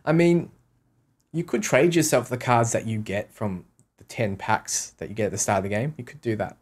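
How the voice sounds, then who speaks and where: A man's voice: fairly low pitch, normal speed. A man streaming a game, casually reading out questions and answering them offhand in short, repeated sentences. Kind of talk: casual livestream Q&A.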